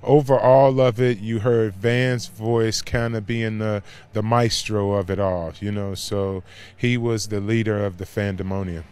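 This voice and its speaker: Ex-player guy, lowish smooth voice, flat, remembering his rookie year. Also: a little slow. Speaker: former player. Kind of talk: interview reminiscence.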